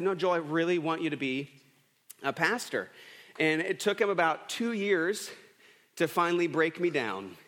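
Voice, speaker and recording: A man's voice: fairly high pitch, fairly bright tone. A man in his thirties preaching a casual, storytelling sermon, chatty and self-deprecating with lots of restarts. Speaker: pastor. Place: church sanctuary. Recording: long church reverb.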